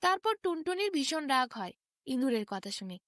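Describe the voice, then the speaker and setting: A woman narrating a children's bedtime story in an expressive, scripted style. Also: high voice, normal speed, no echo, studio quality.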